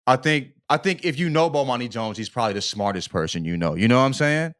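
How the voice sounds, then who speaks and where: A man talks as a podcast co-host in loose, slangy conversation. His voice sits at a middle pitch. He is emphatic and opinionated, circling back over his words and adding little check-in tags. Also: lively intonation, fast, a bit bright. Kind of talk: casual opinionated podcast chat.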